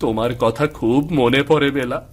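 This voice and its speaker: A man plays a gentle, devoted young villager in a cartoon. His mid-range voice is earnest and plaintive, that of a loyal son and husband. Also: mid-range voice, steady tone, moderate pace, lightly acted. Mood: grieving. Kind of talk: animated cartoon voice acting.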